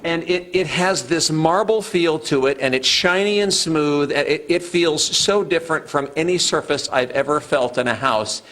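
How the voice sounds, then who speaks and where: A man's voice: on the high side, moderate pace, hardly any pauses, a bit bright. A man reporting live for local TV news from inside a house under construction, interviewing with chatty enthusiasm. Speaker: TV field reporter. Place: home under construction, indoors.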